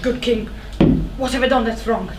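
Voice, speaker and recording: A woman's voice: on the high side, normal speed, natural voice. A woman playing a humble, fearful old shepherd in a stage tragedy, deferential, pleading and evasive. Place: indoor theatre stage. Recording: echoey theatre, distant mic, noisy.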